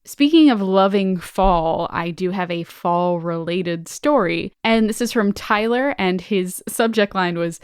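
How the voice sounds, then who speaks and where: A woman's voice: mid-range voice, expressive, measured pace, hardly any pauses, darkish tone, smooth, no room sound, clean studio sound. A woman co-hosting a podcast, chatting casually and reading stories aloud with enthusiasm. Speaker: podcast co-host.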